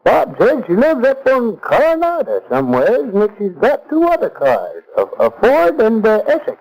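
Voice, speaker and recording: A man's voice: very high voice, wildly swooping pitch, moderate pace, darkish tone, full character voice, rough recording. A male radio actor playing a folksy, obliging old homeowner with rustic, colloquial speech on an old 1930s recording. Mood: helpful. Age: older.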